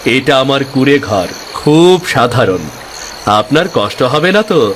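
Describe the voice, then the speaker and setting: A man voicing a poor, kindly young village boatman in an animated fairy tale, speaking politely and earnestly. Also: medium pitch, a little slow, a little hiss or hum.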